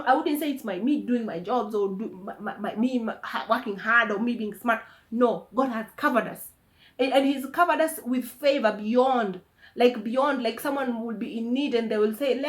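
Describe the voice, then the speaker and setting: A woman in her thirties speaks to her viewers in a somewhat high voice. She is earnest and emotional, often stopping and repeating words as she shares her faith story. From Tanzania. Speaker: vlogger. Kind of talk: personal faith testimony.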